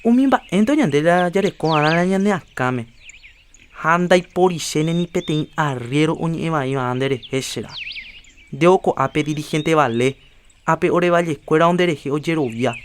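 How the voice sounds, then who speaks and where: A man's voice: fairly high pitch, slight background noise, natural voice. Man as earnest Paraguayan health promoter, persuasive and lecturing, radio drama, faint birds outside. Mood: rousing. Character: earnest adult man urging health checkups. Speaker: Rafael, a community health promoter. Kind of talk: educational radio drama acting.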